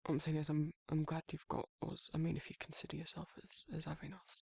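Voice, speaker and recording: A man playing a protective, awkward but tender werewolf in an intimate ASMR roleplay, speaking in a hesitant, husky British murmur. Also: a little high, completely flat delivery, no room sound, clean studio sound, full character voice. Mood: earnest, reassuring. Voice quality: husky, gravelly, breathy. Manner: halting, hesitant, self-correcting, softly murmured.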